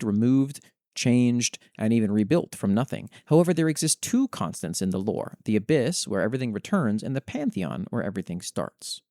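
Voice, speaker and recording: Expressive low-voiced man reading lore aloud. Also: dry recording, studio quality. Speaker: host reading listeners' worldbuilding submissions. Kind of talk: reading written lore aloud with brief casual commentary.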